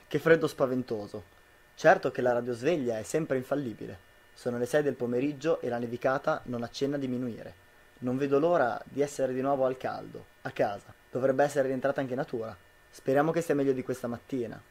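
A man's voice: mid-range voice, fairly quick, darkish tone, natural voice. A man playing an anxious, suspicious citizen of a dystopian future in an amateur radio drama, dramatic and questioning. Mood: worried.

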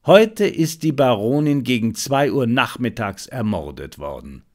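A sharp-minded detective, voiced by a male audiobook reader. He is confident and analytical. His delivery is clipped and matter-of-fact, in the manner of someone reasoning through a case. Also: mid-range voice, sing-song, a little slow, voice pushed down. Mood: brisk, reasoning. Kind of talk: audiobook character dialogue.

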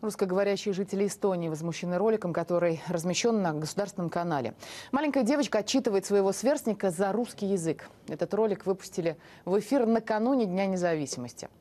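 A woman anchoring the news in the studio, reading the lead-in quickly and formally. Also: medium pitch, dark tone.

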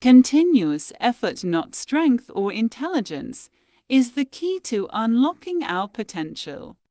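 A woman reading quotations aloud in a slow, measured, deliberate way, pausing between phrases. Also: a little high, very animated, dark tone, no echo, clean studio sound. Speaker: quote reader. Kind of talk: slow scripted quote reading.

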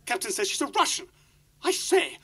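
Excitable, high-pitched radio commentator. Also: male voice, normal speed, exaggerated voice. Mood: tense, intrigued. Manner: urgent, exclamatory. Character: excited male radio commentator reporting live at sea. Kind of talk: 1950s live outside broadcast commentary, acted.

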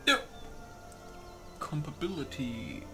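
A man talks through a game's settings in a casual, muttering way, reading options aloud bit by bit. His delivery is somewhat slow, and music plays clearly behind him. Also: a little high, very animated. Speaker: gamer recording a playthrough. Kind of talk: casual gameplay commentary.

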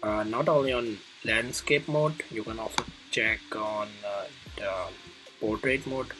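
A man presenting a casual coding tutorial, thinking aloud in a halting way as he demonstrates each step. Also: fairly low pitch, pushed and bright, low-quality recording. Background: music clearly playing behind the voice.

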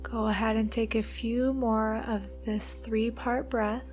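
A woman leading a guided meditation, giving soothing, unhurried instructions with long pauses between phrases. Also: fairly high pitch, level tone, a little mellow. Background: background music.

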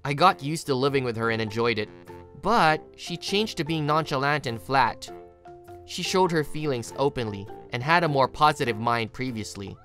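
A woman's voice: very deep voice, animated, normal speed, a bit bright. A woman reading scripted story narration. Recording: music behind the voice. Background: plucked guitar music.

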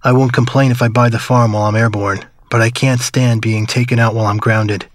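An actor playing a wistful man recalling his wartime youth in measured, somber, reflective narration for a game. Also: medium pitch, little pitch movement, noisy background, close to an everyday voice. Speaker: story narrator. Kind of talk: scripted reflective first-person narration.

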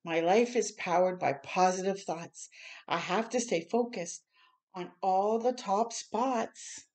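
A woman's voice: medium pitch, slow, a little mellow. Older woman reading a picture book aloud. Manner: encouraging, chatty asides to young listeners. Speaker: children's storytime reader. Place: small room at home, indoors.

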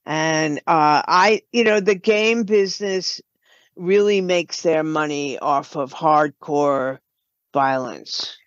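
An older woman speaking as an interview guest, halting and deliberate, in a slightly dull recording. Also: low voice, dry recording. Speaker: guest, game writer and producer. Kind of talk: conversational interview.

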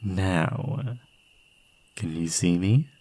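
A young man playing an obsessive, gleeful yandere kidnapper in an intimate ASMR roleplay, doting on the listener in a breathy voice. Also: low-pitched, drawn-out words, warm tone. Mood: soothing, menacing. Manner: doting pet names, unhinged chuckling, swinging into whispers.

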